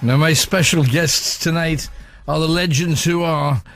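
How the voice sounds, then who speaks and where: A man hosting a late-night radio interview, asking brisk, upbeat questions. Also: fairly high pitch, steady tone, creaky, a bit bright. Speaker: radio host.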